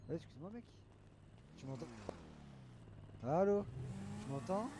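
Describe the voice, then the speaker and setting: A man's voice: high voice, big pitch swings, measured pace, background noise. A man chatting casually in an online roleplay game, slangy and insistent, often repeating his questions. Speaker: streamer trolling players in an online roleplay game.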